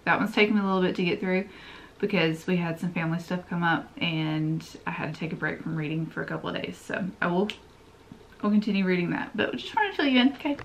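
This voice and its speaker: Woman, 30s, stuffy nose, lower pitch, chatty emphatic book reviewer talking to a desk camera at home. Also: fast talker, slight background noise. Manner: chatty and emphatic, with frequent repetitions. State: stuffy, congested. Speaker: book vlogger. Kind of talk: casual book review chat. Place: home room lined with bookshelves. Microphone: camera in front at desk distance.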